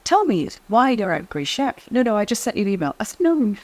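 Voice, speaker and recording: A middle-aged woman, a guest in an interview, speaking in a quiet, measured way as she gives advice. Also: mid-range voice, big pitch swings. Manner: soft-spoken, measured, advice-giving. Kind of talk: interview conversation.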